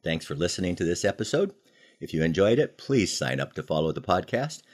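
An older American man telling personal stories in a conversational, folksy way, leaning deliberately on key phrases, as a podcast host. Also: sits low, very animated. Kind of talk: conversational storytelling with moral lessons.

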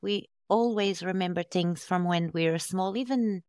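A woman hosting a podcast interview, asking slow, thoughtful questions about writing children's books. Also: lowish voice, darkish tone, clean tone, no room sound, studio quality. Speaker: podcast host, children's author.

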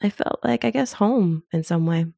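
A middle-aged woman telling personal stories in casual conversation as an interview guest, with a thoughtful, expressive and informal way of talking. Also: mid-range voice, normal speed, vocal fry, dry recording, clean studio sound. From born in Zimbabwe.

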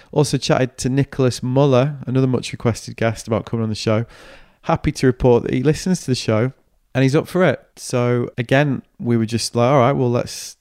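A man's voice: medium pitch, a little mellow, no echo, studio quality. A man hosting a podcast, chatty and upbeat, trading banter and wrapping up the episode on his own. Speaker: podcast host. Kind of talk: casual chat and solo wrap-up.

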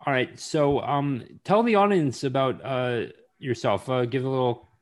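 A man's voice: medium pitch, normal speed. A man hosting a podcast interview, asking questions in a hesitant way with many fillers. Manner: hesitant, lots of uhs when asking questions. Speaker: podcast host. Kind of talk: casual interview.

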